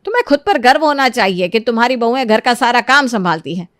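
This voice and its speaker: A woman voicing a kindly, motherly middle-aged lady who reasons gently in a moral storybook tale. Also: fairly high pitch, lively intonation, quick pace, no room sound, studio quality. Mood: reproachful. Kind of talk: animated moral storybook dialogue.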